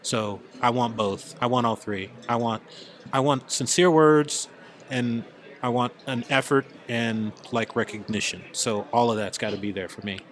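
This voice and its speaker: Mid-pitched man, halting but emphatic. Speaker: podcast panelist. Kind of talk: casual group chat.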